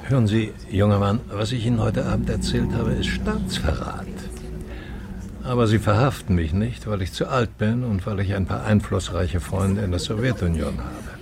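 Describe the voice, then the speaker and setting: Gravelly, sardonic old professor. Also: male voice, on the low side, normal speed, noisy background. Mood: guarded, ironic. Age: older. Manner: lecturing, rhetorical, courtly, ironic. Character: charming, sardonic old male economics professor and dissident. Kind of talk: radio drama acting.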